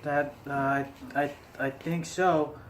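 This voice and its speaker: A man's voice: on the high side, fairly even intonation, slow pace, slight background noise. A man improvising prank phone calls, play-acting with a hesitant, stammering delivery. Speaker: prank caller.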